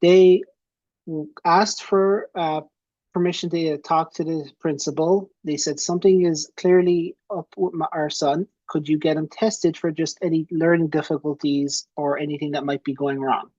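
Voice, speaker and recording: A man's voice: a little high, fairly even intonation, moderate pace, darkish tone, dry recording, highs cut off. A young man with an Irish accent giving a chatty, friendly webinar presentation from a small room at home, speaking into a headset. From Ireland. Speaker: presenter and software founder.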